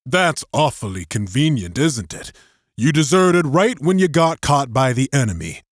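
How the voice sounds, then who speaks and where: Casting: hard-edged male resistance fighter acted by a man, cold and sharp, suspicious, used to giving orders, dry studio audiobook. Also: mid-range voice, very animated, normal speed, dry recording, pitched above the performer's own voice.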